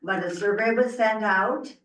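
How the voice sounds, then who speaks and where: A woman's voice: medium pitch, moderate pace, fairly bright tone, slight background noise. A woman resident giving informal public comment in a meeting room, away from the microphone. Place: indoor council meeting room. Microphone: distant room mic, not at the stand. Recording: echoey room, far from the mic.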